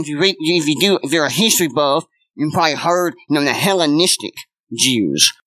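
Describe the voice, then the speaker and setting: Man, pastor, conversational sermon, rhetorical questions, slightly high, bright forceful voice. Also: no echo, low-quality recording. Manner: conversational preaching, repeats "stay with me", rhetorical questions.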